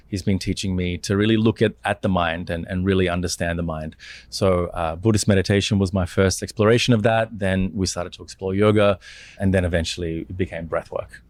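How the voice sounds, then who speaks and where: A man in his seventies with an Australian accent, a meditation teacher telling his story at a panel in a relaxed, filler-laden way. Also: low-pitched, dry recording. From Sri Lanka, raised in Australia. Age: 70s. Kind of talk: conversational panel talk.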